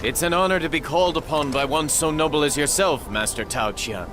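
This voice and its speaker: A humble lord, voiced by a male actor, talks in a fairly high pitch. He is earnest and formal, a high-minded game hero with music clearly underneath. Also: a little fast, lightly acted. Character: noble, humble, idealistic warlord of ancient China. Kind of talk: video game dramatic acting.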